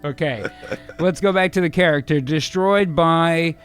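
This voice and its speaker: A man hosting a casual live stream, brainstorming out loud in a halting way, trailing off into hums as he mulls over words. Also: on the high side, faint music behind. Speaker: host of a live streamed improv show. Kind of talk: casual brainstorming, thinking aloud.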